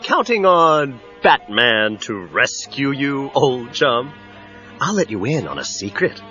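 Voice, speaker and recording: An actor playing a menacing, split-minded crime boss with mock-friendly manners in a 1990s audio drama. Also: male voice, mid-range voice, big pitch swings, takes it slowly, bright, forceful tone, full character voice. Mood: mocking menace. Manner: speaks of himself as "we", mock-friendly. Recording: dull cassette audio, faint music behind.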